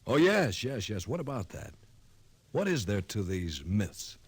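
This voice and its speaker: An actor playing a skeptical, excitable young man with a husky voice, insistent and halting, in 1950s radio style. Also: lowish voice, no echo, heavily acted. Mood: puzzled, skeptical. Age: young adult.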